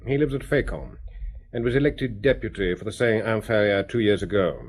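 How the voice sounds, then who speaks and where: An actor playing a brisk, businesslike police chief in a radio drama. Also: male voice, lowish voice, close to an everyday voice.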